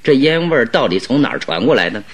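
A man playing a shrewd, calm detective in a Mandarin radio drama, speaking deliberately and with emphatic instruction. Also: medium pitch, husky. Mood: grave, deliberating. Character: shrewd, commanding male detective, calm and exacting.

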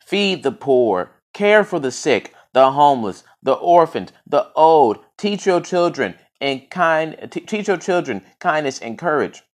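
A man reading aloud and voicing a mighty male forester god who booms out stern commands. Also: very animated, a little slow, hardly any pauses. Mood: decreeing. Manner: booming, decreeing.

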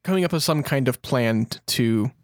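Male podcast co-host, average pitch, relaxed chat format, very little room sound. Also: moderate pace, no room sound, clean studio sound.

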